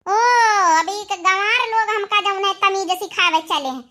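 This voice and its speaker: A woman's voice: extremely high pitch, normal speed, pushed and bright. A woman voicing a vain, defiant young schoolteacher wife in a cartoon comedy, with a sassy, haughty manner. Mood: angry, defiant.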